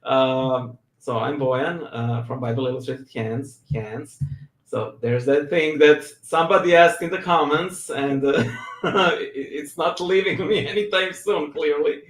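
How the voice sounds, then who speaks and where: A man's voice: a little high, unhurried. Serbian man, laughing, echoey room. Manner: laughs often, self-deprecating humor, hesitant fillers. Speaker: guest, church reader and YouTube creator. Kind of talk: casual interview.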